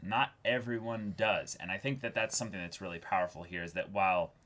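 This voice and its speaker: Low-voiced man, earnest and effusive. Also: a bit bright. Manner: earnest, effusive, halting with repeated words. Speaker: reaction show co-host. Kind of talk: casual discussion and review.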